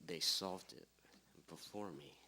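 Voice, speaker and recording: A man's voice: deep voice, slow pace, gravelly, sharp tone, slightly rough, exaggerated voice. A man playing a gloomy, washed-up detective with a short temper in an amateur noir radio drama. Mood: dejected.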